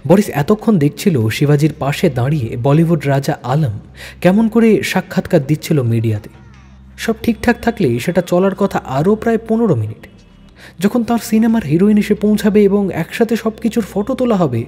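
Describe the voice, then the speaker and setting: A male narrator tells a thriller with suspenseful, deliberate phrasing. His voice is fairly high yet dark and slightly husky, set in long reverb over music. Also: expressive. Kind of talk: dramatic scripted story narration.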